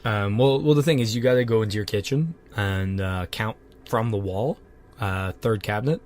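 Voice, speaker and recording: A man co-hosting a podcast, trading deadpan absurd jokes in casual chat, a little congested from a cold. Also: on the low side, normal speed. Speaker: podcast co-host.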